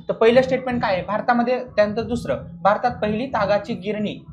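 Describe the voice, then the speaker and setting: A male exam coach with a fairly high voice explains material as in a classroom. He is didactic and repeats the important points, often putting brief rhetorical questions to his students to make sure they follow. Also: brisk, rough recording. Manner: didactic, repetitive, checking understanding with rhetorical questions.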